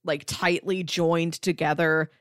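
A woman talking casually as a podcast host, animated and peppering her sentences with "like". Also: deep voice, takes it slowly, no echo, studio quality. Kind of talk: casual chat about comics.

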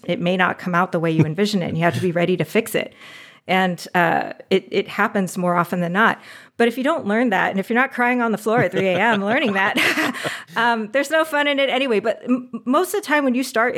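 A woman in casual conversation, animated and talkative with frequent laughs. Her voice sits in an ordinary middle pitch. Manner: animated, chatty, laughs often. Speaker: podcast guest, audio drama producer. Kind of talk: casual interview chat.

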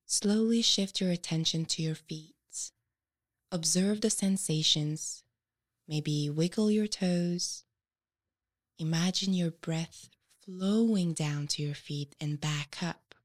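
A woman with a fairly low voice narrates a guided meditation. She speaks very slowly, in short measured instructions, leaving frequent pauses between phrases.

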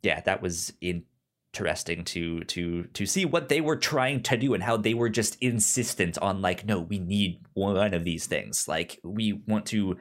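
A man in casual film discussion, stammering on syllables and often halting to rephrase as he goes. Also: deep voice. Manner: halting, rambling, circles back to rephrase. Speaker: film podcast host.

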